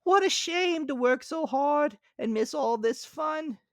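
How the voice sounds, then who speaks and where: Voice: sits high, expressive, slow pace, dry recording, studio quality, pitched above the performer's own voice, heavily acted. A storyteller voicing a carefree, flighty female grasshopper in a storybook fable, with exclaiming, theatrical delivery. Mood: dismissive, breezy. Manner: exclaiming, theatrical, sing-song. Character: carefree, flighty female grasshopper, dismissive then pitifully remorseful. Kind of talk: dramatic storybook character reading.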